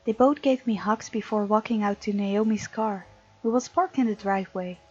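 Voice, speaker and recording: A woman's voice: mid-range voice, normal speed, darkish tone, breathy edge, dull audio, faint music behind. A woman hosting a gaming let's play, reading aloud and adding chatty, casual asides. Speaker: gaming video host.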